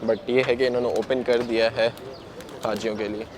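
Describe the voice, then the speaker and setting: Chatty vlogger with a sore throat. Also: male voice, medium pitch, level tone, normal speed. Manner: chatty, addresses viewers as brother. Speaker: travel vlogger. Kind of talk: handheld travel vlog narration. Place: outdoors and in a large busy mosque extension. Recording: noisy outdoor phone recording.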